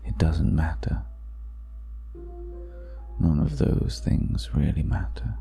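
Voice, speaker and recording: Man as a very deep, gravelly seductive vampire. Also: dark tone, faint music behind, full character voice. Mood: amused, teasing. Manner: slow, breathy half-whispered delivery with lingering pauses. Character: seductive ageless male vampire, teasing, menacing, worldly. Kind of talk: intimate ASMR roleplay, acted monologue. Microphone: close microphone.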